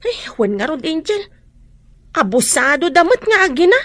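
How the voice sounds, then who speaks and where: A loyal, indignant housemaid in an Ilocano radio drama. She has a high voice with an Ilocano accent, recorded close and dry. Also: big pitch swings, normal speed, a bit bright, dry recording. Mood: sympathetic.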